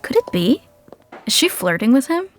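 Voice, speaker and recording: A woman's voice: high voice, big pitch swings, moderate pace, faint music behind. A woman playing the wry narrator of a scripted sitcom.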